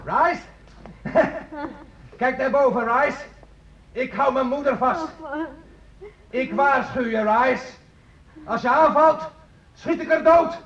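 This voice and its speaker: Crazed fugitive son in an old radio drama, high voice, needy and menacing, bit of noise on it. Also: male voice, slow pace, long pauses, fairly bright tone, exaggerated voice. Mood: menacing, taunting. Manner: pleading, threatening, volatile. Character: unhinged armed fugitive son clinging desperately to his mother. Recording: dull, noisy old radio play recording.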